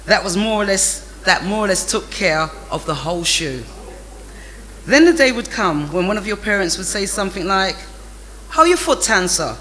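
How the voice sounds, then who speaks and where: A man narrates memories of childhood in a bright, forward voice. His pitch sits well above most men's, and his delivery is animated and amused. There is some light background noise. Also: moderate pace, poor audio. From England. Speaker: storyteller reading a memoir.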